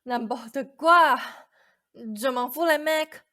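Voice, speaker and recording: Woman playing stubborn young Cinderella, high and slow. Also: bright, forceful tone, exaggerated voice. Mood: dry, sceptical. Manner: dry, stubborn, guarded. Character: sullen, skeptical young Cinderella who challenges her fairy. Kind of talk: stage-like radio play acting.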